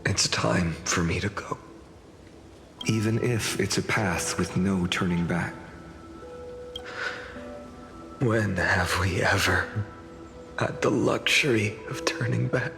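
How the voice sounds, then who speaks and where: A young game hero, solemn and resolute. He speaks very slowly in a low, gravelly voice with a breathy edge, weighing each phrase wistfully over a clear music bed. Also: fairly bright tone, full character voice. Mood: quiet, resigned. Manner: measured, earnest, heavy with pauses. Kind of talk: dramatic video game voice acting. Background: music behind the voice.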